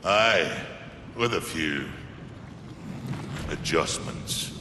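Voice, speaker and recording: An actor playing a grave, gruff ancient male being in a fantasy video game, with a gravelly voice. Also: very deep voice, lively intonation, drawn-out words, exaggerated voice. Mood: gruff, encouraging. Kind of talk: dramatic fantasy game acting. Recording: echoey hall with noisy ambience.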